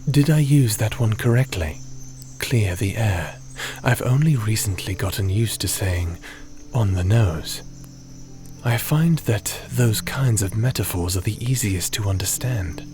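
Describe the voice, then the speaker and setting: A man playing a gentle, cautious fantasy companion in an ASMR roleplay, speaking in a measured, soothing, slightly formal way. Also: fairly low pitch, animated. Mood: reflective, explaining. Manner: measured, soothing, slightly formal, sometimes breathy near-whisper. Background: music.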